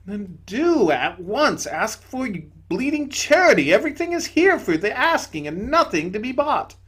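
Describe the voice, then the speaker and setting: A man voicing a radiant, serene male spirit who speaks with gentle, patient calm, in a storybook reading. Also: very high-pitched, moderate pace, husky, voice pushed up, full character voice.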